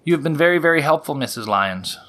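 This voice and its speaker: A man playing a polite, nervous gentleman doctor in an audio drama, hesitant and prone to stammering as he investigates. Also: fairly high pitch, expressive, quick pace. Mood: polite, probing.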